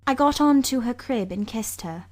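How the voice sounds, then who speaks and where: Measured woman narrating a novel. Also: a little high, animated. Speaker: audiobook narrator. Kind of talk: scripted literary narration.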